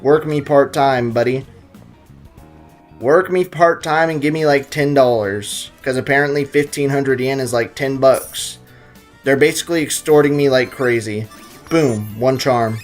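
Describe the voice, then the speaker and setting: A man's voice: medium pitch, unhurried. Brief: young male let's-player, loose rambling jokes, faint music bed. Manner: joking, rambling, excitable. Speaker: gaming YouTuber. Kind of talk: casual let's-play commentary. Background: game music.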